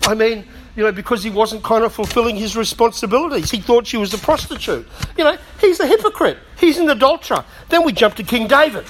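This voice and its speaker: A middle-aged man preaching a sermon in a conversational way, with humorous asides, slightly hoarse as he is losing his voice. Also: very high-pitched, lively intonation, fast talker, few pauses, a little hiss or hum. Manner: conversational, self-deprecating humour, frequent asides. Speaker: pastor.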